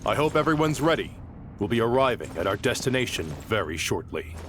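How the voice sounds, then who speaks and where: A man's voice: mid-range voice, very animated, fairly quick, a bit bright, a little hiss or hum. An actor playing a formal, businesslike male envoy in a video game, speaking earnestly as a negotiator. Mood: brisk. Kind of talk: video game character acting.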